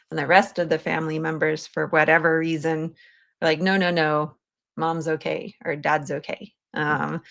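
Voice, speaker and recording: Low-voiced, creaky woman interviewer. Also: fairly bright tone. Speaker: podcast host. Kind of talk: conversational interviewing.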